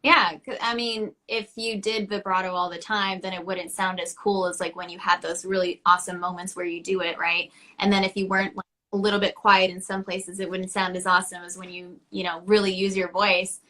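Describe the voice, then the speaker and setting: A woman's voice: medium pitch, little pitch movement, a little fast, hardly any pauses, sharp tone. A woman hosting a live-stream interview over a video call, reacting with upbeat enthusiasm. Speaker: host.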